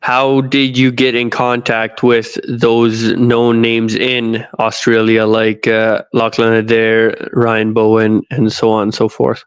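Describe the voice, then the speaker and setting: A man from North America hosting a podcast interview, asking his guest steady strings of questions. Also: medium pitch, takes it slowly, hardly any pauses, clean tone. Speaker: podcast host.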